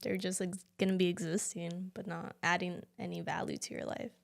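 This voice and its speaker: A woman's voice: fairly low pitch, flat delivery, brisk, vocal fry. Young woman, podcast co-host; thoughtful, casual, filler-heavy talk. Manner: reflective, many fillers like "you know" and "like". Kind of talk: casual reflective chat.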